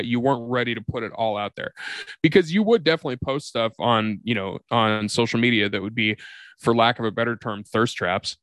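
A man hosts a podcast in a loose, conversational style, in a medium-pitched voice with a dry, echo-free sound. He swears casually and repeats phrases to drive a point home. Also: a bit bright. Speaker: podcast host. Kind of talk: casual chat, interviewing.

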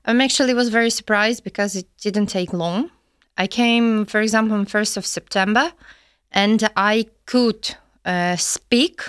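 A woman's voice: medium pitch, unhurried, smooth, dry recording, studio quality. A woman from Ukraine with a Ukrainian accent, speaking cheerfully as a guest in a casual interview. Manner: cheerful, giggly. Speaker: interview guest, student care manager.

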